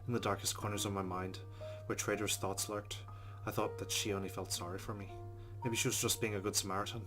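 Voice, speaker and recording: Mężczyzna czytający cicho i płasko. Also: male voice, lowish voice, quick pace. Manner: subdued, flat reading, swearing asides. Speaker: let's play host. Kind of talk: reading game narration aloud with asides. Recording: guitar music behind.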